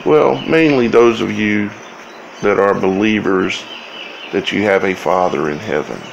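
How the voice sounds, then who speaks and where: Male host for informal scripture commentary; low-pitched, heavy vocal fry, halting phrasing, some background noise. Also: lo-fi sound. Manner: halting, broken phrases with long pauses. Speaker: video host.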